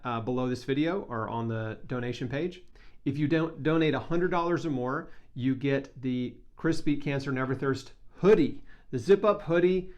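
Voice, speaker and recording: A man talking casually to camera as a vlogger making a charity appeal, with an informal, enthusiastic and earnest manner. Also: mid-range voice. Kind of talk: casual talk to camera, fundraising pitch.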